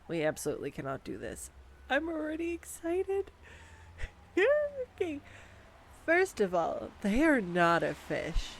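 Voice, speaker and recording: A woman's voice: medium pitch, a little hiss or hum. A young woman performing a scripted girlfriend roleplay monologue, chatty and giggly, talking to the listener. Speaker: voice actor playing a girlfriend. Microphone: studio condenser mic.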